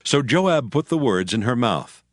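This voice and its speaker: A man's voice: mid-range voice, very animated, measured pace, dry recording, clean studio sound. A man giving a solemn scripted narration of scripture in archaic English. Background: faint music.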